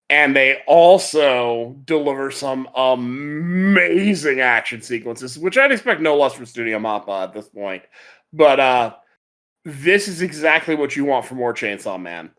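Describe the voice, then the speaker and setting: A man with a medium-pitched, animated voice that swings up and down. He talks casually as a podcast host, rambling with open enthusiasm. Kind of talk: casual chat about anime.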